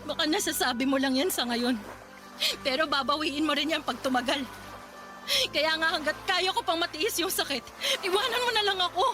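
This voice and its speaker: An actress playing a grieving, stubborn wife in a Filipino radio drama, emotional and pleading. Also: female voice, sits high, normal speed, music in the background, lightly acted. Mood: pleading, exasperated. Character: grieving wife who cannot bear children, stubborn, self-sacrificing.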